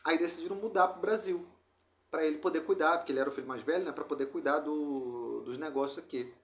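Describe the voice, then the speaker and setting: Casting: male podcast host, Rio de Janeiro accent, slightly high voice, slangy rambling storyteller, roomy echoey sound. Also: fast talker. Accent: Brazilian Portuguese, Rio de Janeiro. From Brazil. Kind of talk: casual chat, telling family anecdotes. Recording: roomy echo.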